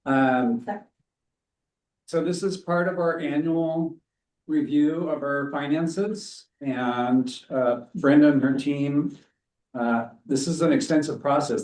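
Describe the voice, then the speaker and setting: A man's voice: mid-range voice, a little slow, a little mellow. A man who works for the library introduces a guest in a casual, friendly way at a board meeting. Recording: echoey meeting room, mic far off.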